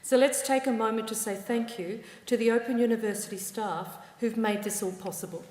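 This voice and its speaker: A woman's voice: on the high side, noisy background. Australian woman addressing a reverberant hall. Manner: warm, measured speech with wry asides. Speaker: university ceremony presider. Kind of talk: formal ceremony address. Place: large ceremony hall.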